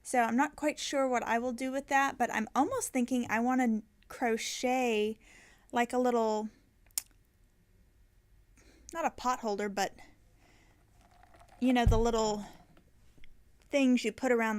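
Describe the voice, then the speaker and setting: Chatty woman with a higher voice. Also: fairly even intonation, a little slow, long pauses. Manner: chatty, rambling, self-correcting with many ums. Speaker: knitting podcast host. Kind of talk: casual chat.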